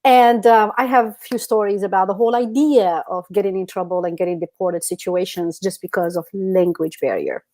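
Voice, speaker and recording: A middle-aged woman, speaking English as a later language and telling stories in an expressive, informal way as a podcast co-host. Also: medium pitch, a little fast, few pauses. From Algeria. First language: not English. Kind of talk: casual conversational storytelling.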